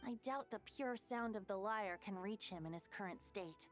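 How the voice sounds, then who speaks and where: A woman's voice: mid-range voice, normal speed, soft background music, lightly acted. A woman playing a youthful, breezy yet thoughtful boy bard in a video game. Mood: grave, thoughtful.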